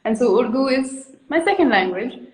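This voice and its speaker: A woman's voice: fairly high pitch, animated, moderate pace, darkish tone. Frank, rambling woman in an echoey room. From India. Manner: rambling, frank, laughs often. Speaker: guest artist. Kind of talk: casual interview chat.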